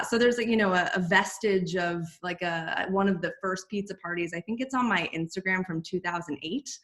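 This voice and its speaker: A woman's voice: fairly low pitch, bright, forceful tone. A woman, chatting as a podcast guest with many fillers and restarts. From United States. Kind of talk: casual interview chat.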